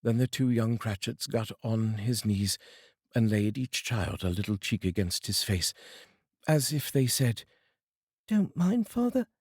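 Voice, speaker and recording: A man with a British accent reading a classic novel aloud as narrator, dramatic and expressive. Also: lowish voice, measured pace, breathy edge, no room sound, clean studio sound.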